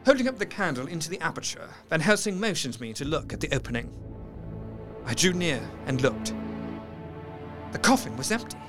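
A man's voice: a little high, a little fast, long pauses, over music. A man playing a proper, troubled Victorian doctor, narrating his own horror story formally and with feeling in an audio drama. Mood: shaken. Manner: formal, expressive. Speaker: narrator in character.

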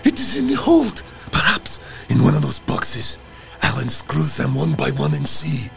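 An actor playing a terrified, half-mad ship's mate in an audio drama, feverish and intense. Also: male voice, on the high side, huge pitch swings, measured pace, creaky, music in the background, exaggerated voice.

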